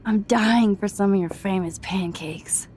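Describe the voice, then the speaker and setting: A young woman with an American accent playing an introspective, self-doubting teenage girl in a video game, soft-spoken, understated and casual. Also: mid-range voice, a little slow, slight background noise, close to an everyday voice. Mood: cheerful, nostalgic. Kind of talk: video game acting, quiet inner monologue and dialogue. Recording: music under the voice. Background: background music.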